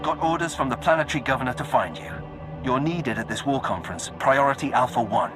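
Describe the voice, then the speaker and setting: A brusque Imperial military messenger, played by a man in a sci-fi game cutscene. His medium-pitched voice is terse and businesslike, with clipped phrasing over prominent music. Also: quick pace, lightly acted. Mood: brisk, urgent. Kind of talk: scripted game cutscene acting.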